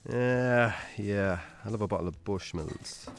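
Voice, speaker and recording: An actor with an Irish accent playing a troubled, defensive, hard-drinking farmer in a radio drama, gruff, curt and sweary. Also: male voice, on the low side, unhurried, soft background music. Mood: curt, impatient. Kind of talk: naturalistic radio drama acting.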